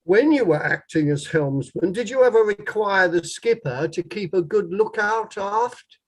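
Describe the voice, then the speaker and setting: A man's voice: sits high, lively intonation, unhurried, full character voice. A man playing a formal, methodical lawyer putting precise questions in a table read of an inquiry play. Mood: methodical, probing.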